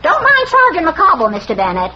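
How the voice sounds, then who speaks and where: An actress playing a feisty, scatterbrained middle-aged woman sleuth in an old radio comedy-mystery, shrill, brash and slangy. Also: huge pitch swings, a little fast, full character voice. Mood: sardonic. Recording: noisy muffled vintage radio recording.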